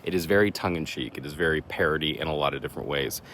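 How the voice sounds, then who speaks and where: Deep-voiced casual male vlogger outdoors. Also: fast, a bit bright. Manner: chatty, self-deprecating, rambling with fillers. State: slightly raspy voice. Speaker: horror contest vlogger. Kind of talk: casual vlog to camera. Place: outdoors in woods. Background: faint leaf blower and vehicle noise.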